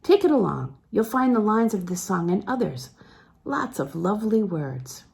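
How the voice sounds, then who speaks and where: A woman's voice: mid-range voice, sing-song, normal speed, vocal fry, a little mellow. A woman reading the part of an affectionate, playfully teasing man in a storybook novel.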